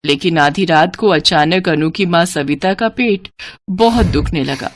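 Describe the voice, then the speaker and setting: A woman's voice: on the low side, unhurried, slight background noise. A woman narrating a cartoon story in a scripted, emotive storybook manner.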